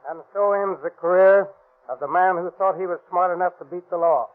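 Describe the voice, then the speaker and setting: A man's voice: sits high, steady tone, a little fast, narrow, tinny audio, loud music behind the voice, natural voice. A man giving a stern, preachy scripted moral address in 1930s radio style, on a very thin old recording. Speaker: closing moral commentator.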